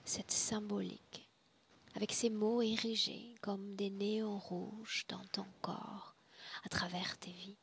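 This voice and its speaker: A woman guides a spiritual hypnosis session with solemn authority. Her delivery is very slow and deliberate, often hushed and breathy, with creak at the edges. There is faint noise behind her. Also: medium pitch, little pitch movement.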